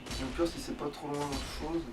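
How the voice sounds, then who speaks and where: A man's voice: a little high, flat delivery, moderate pace. A man doing casual gaming commentary with a friend, grumbling and swearing as he plays. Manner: foul-mouthed, grumbling. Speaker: let's player playing the game. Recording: distant mic, loud background noise.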